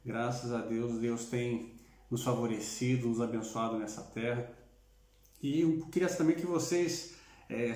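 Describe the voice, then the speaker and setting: A man's voice: mid-range voice, dark tone, reverberant. A man from Curitiba with a southern Brazilian accent, speaking as a pastor in an informal, conversational livestream talk, often adding tag questions. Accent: southern Brazilian Portuguese.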